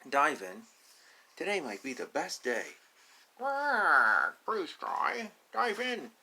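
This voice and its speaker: A man's voice: a little high, huge pitch swings, slow, bright, forceful tone, exaggerated voice. A man voicing an encouraging grown-up male duck in a storybook, coaxing with emphatic words. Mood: urging.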